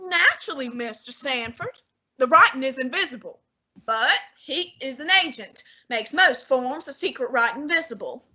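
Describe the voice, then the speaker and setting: A woman playing a portly, imperious male detective genius in a student radio drama, measured and condescending. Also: high-pitched, animated, sharp tone, husky, exaggerated voice, low-quality recording. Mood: commanding. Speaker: student actor.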